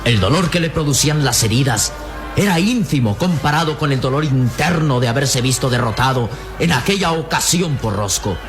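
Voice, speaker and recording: An actor playing a solemn adventure storyteller, narrating a radio drama emphatically. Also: male voice, medium pitch, lively intonation, normal speed, music in the background, natural voice. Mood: grave, dramatic. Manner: grave, emphatic, florid storytelling delivery.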